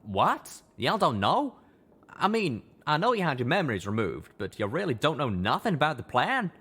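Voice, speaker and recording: A man's voice: on the high side, sing-song, normal speed, fairly bright tone, slightly rough, voice pushed up, full character voice. Man doing a folksy, drawling cowboy pony. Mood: startled. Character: male cowboy-like pegasus pony, plain-spoken loyal friend.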